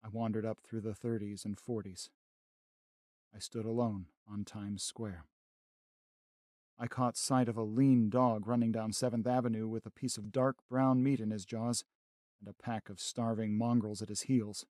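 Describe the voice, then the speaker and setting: A man playing a grave, educated survivor of an alien invasion in a radio drama, solemn and measured with literary phrasing. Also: lowish voice, little pitch movement, darkish tone, dry recording, clean studio sound, lightly acted. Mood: solemn, haunted. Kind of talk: dramatic radio narration and acting.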